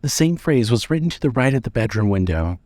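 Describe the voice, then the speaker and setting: A man reads dramatic fiction as its narrator. His voice sits at an average male pitch, and the delivery is measured and scripted. The sound is dry, with hardly any room in it. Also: lively intonation, darkish tone, dry recording.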